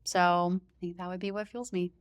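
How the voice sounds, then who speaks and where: Chatty woman on a running podcast, loud and excited, kinda low smooth voice, pretty dry sound. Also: normal speed, no echo. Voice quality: loud, emphatic voice. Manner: enthusiastic, chatty, laughs easily. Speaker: running podcast host, interview guest. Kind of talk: casual interview chat.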